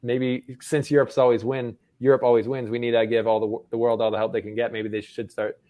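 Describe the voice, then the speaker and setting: A man's voice: mid-range voice, little pitch movement, brisk, warm tone. A man co-hosting a casual sports chat in an upbeat, slangy way. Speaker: sports show co-host.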